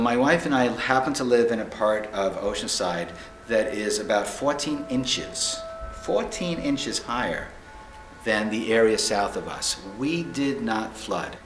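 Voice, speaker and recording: A man's voice: mid-range voice, fairly bright tone. A middle-aged man giving an earnest, emphatic account as a documentary interviewee. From Long Island, New York. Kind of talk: personal testimony. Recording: echoey room with music underneath.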